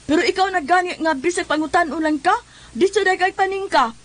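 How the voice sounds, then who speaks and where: A woman's voice: extremely high pitch, a little fast. An actress playing an exasperated village woman who nags and lectures in a Cebuano comedy radio drama. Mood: lecturing. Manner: nagging, lecturing. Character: scolding, exasperated village woman, morally reproving.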